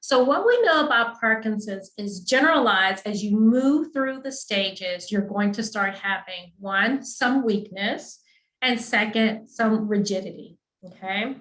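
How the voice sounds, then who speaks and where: A woman speech therapist talks through a clinical topic at a measured pace and keeps checking that the listener is following. Her voice sits in a middle register and sounds roomy, as if the space around her echoes. Her manner is calm and instructive. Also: mid-range voice. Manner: patient, teacherly, checks in with "okay?". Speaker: speech-language pathologist. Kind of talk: explanatory webinar talk.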